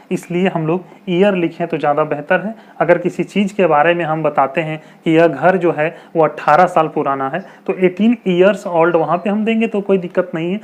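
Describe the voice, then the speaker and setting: Guy teaching english grammar, repeats the examples slow, asks the class questions. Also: on the high side. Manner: explains patiently, repeats example sentences slowly; rhetorical questions, chatty teacherly asides. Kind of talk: explanatory video lesson.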